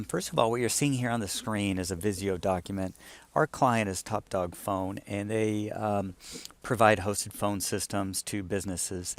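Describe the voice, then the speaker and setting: A man with a fairly low voice walks through something on screen in an informal way. He explains it like a client briefing someone on a project, thinking aloud as he demonstrates. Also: dry recording. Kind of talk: casual screen-share walkthrough.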